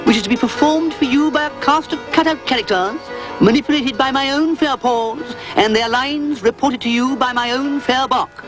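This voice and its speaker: A man voicing an excitable, pompous male cartoon dog who fancies himself a playwright, speaking in a hammy, theatrical way. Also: very high-pitched, normal speed, music in the background, voice pushed up, low-quality recording.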